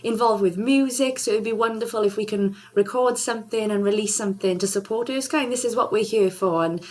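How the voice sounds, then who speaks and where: A woman's voice: medium pitch, a little fast, darkish tone. Bubbly woman singer, laughs often. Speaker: singer and charity ambassador. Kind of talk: casual interview chat.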